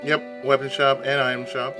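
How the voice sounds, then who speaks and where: A hoarse man giving chatty, casual let's play commentary and clearing his throat often. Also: mid-range voice, level tone, moderate pace. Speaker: let's player. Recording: game music playing behind.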